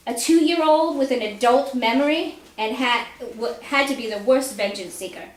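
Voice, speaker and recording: A woman reading a story aloud at a live indoor reading, narrating expressively and deliberately. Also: high-pitched. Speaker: author reading own fiction aloud. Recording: echoey room live reading.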